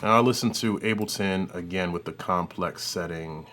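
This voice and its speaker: A man hosting an audio software tutorial, talking informally and thinking aloud as he works through a demo. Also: sits low, normal speed, loud music behind the voice. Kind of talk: casual demo commentary.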